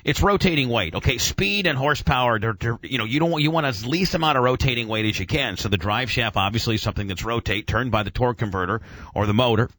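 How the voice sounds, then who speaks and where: A man's voice: medium pitch, expressive, fast talker, sharp tone, dry recording. A man hosting a casual motoring radio chat, rambling enthusiastically in technical gearhead jargon with mild swearing. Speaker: radio show host.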